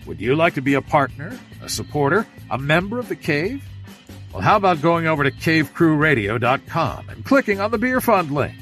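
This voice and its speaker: A man reads a scripted promo in a fairly high, animated voice. Light music plays underneath his announcer delivery. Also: normal speed. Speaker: podcast network promo announcer.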